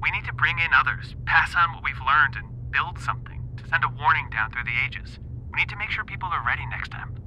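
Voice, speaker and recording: An actor playing an eager, earnest professor in an audio drama, speaking deliberately over a satellite phone. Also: male voice, fairly high pitch, pushed and bright, slight background noise, low-quality recording. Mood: grave, urgent. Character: eager male professor, earnest scholar warning of returning threat.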